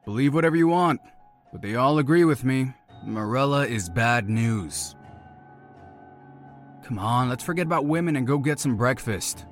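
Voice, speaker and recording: An actor playing a pushy, needling best buddy of about thirty in an audio drama, brash and blunt in manner. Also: male voice, medium pitch, expressive, normal speed, faint music behind, lightly acted. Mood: persuasive, feigned concern. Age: around thirty.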